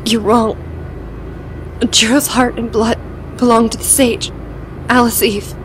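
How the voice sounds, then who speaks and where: A woman's voice: a little high, flat delivery, slow pace, background noise. A woman playing a wounded but defiant young woman in an audio drama, strained and earnest. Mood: weakly defiant.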